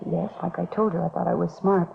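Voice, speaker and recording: An American actress playing a worried beauty shop owner in a 1950s radio drama, confessing and pleading anxiously. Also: female voice, on the low side, fairly even intonation, a little fast, gravelly. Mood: uneasy, confessing. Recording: scratchy, phone-like 1950s radio recording, noisy.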